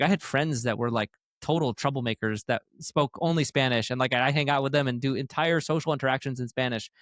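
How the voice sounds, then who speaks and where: A man's voice: fairly high pitch, fairly bright tone, no room sound, studio quality. Man in a loose, meandering chat. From Tucson, Arizona, USA. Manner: meandering, talks in long loose chains of thought. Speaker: guest, dental practice co-owner. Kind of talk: casual conversation.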